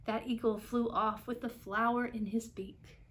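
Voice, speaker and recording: A woman storyteller narrating a children's picture book aloud in an expressive style. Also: on the high side, measured pace.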